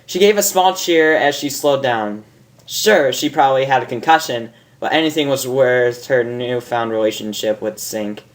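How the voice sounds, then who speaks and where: A man's voice: mid-range voice, normal speed. A man narrating a story as an amateur host, informal, stumbling over lines and correcting himself. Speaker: fan fiction reader and host.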